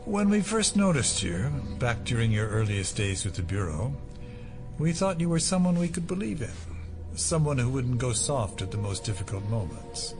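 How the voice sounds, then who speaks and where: A man's voice: mid-range voice, very animated, music in the background. Menacing, swooping male conspirator. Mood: cold, reproachful. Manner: deliberate, patronising, menacing. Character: menacing male conspiracy boss, coldly disappointed. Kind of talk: audio drama dialogue.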